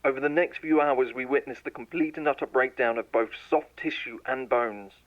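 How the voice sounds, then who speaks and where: A man voices a lab scientist in a horror audio drama, dictating test logs in a measured, clinical tone. His voice sits at an ordinary middle pitch for a man. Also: muffled recording, a little hiss or hum. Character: male lab scientist logging tests, growing frightened. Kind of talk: scripted horror audio narration.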